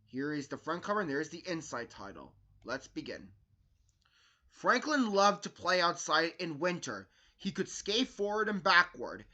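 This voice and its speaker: A man hosting a read-along, reading a children's picture book aloud with expressive, emphatic delivery. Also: fairly high pitch, moderate pace. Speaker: storyteller, video host.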